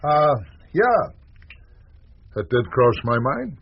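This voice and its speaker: A man's voice: fairly high pitch, sing-song, slow, gravelly, breathy edge, exaggerated voice. An actor playing a hearty, informal middle-aged newspaperman in a radio drama. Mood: frank, reminiscing. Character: hearty middle-aged newspaperman, settled after restless wandering years. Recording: muffled, noisy radio recording.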